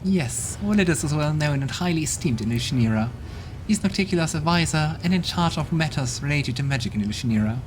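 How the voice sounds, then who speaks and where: A man's voice: on the high side, expressive, fast, background noise, natural voice. A man voicing an arrogant, haughty female demon who talks with contempt, over game music. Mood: scornful.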